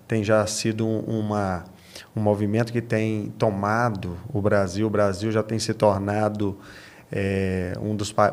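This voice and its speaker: A Brazilian man answering as a podcast guest, speaking conversationally and haltingly, often tagging phrases to check agreement. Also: on the low side.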